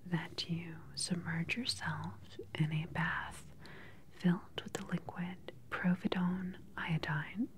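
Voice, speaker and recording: A woman in her thirties whispering gently and methodically in an ASMR roleplay as a calm research doctor questioning a patient, close to the microphone. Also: lowish voice. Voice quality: breathy, unvoiced whisper.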